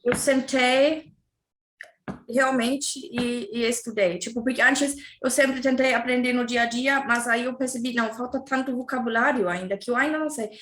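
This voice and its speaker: A woman talks casually as a guest, speaking a language she learned later. Her voice sits a little high, and she often stops to search for words and fills the gaps. The room around her sounds echoey. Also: fairly even intonation, moderate pace, a bit bright. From Germany. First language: German. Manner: hesitant, searching for words, many fillers. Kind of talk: casual interview chat.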